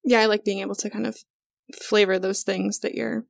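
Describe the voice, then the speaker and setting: A woman's voice: mid-range voice, little pitch movement, brisk, creaky, no room sound, clean studio sound. A woman playing a tabletop game, chiming in with teasing, playful remarks. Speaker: player. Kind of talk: casual tabletop chat.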